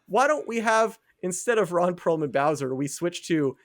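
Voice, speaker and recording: A man's voice: sits high, animated, normal speed. A young man co-hosting a podcast in casual chat, rambling and laughing at his own ideas. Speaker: podcast co-host.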